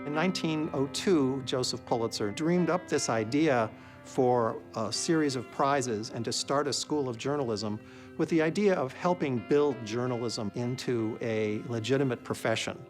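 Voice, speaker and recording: Mid-pitched man explaining over faint guitar. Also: normal speed. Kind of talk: documentary interview commentary.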